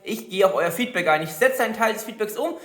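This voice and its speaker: A man with a fairly high voice, a little stuffy from a cold. He talks in a relaxed, chatty way and explains every step of his building work to his viewers. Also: fast. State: has a cold. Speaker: LEGO builder and channel host. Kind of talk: casual build vlog narration.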